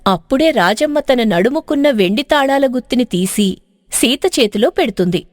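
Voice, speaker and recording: A woman's voice: a little high, animated, normal speed, dry recording, clean studio sound. A woman storyteller giving dramatic scripted narration of a moral tale.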